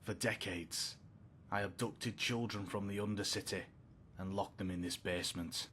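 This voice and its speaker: A doomed criminal, acted by a man confesses in a low male voice. He sounds solemn, rustic and resigned, as in a dark fantasy game voice-over. A faint rumble sits under the voice. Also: steady tone, moderate pace, close to an everyday voice. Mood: grim, resigned.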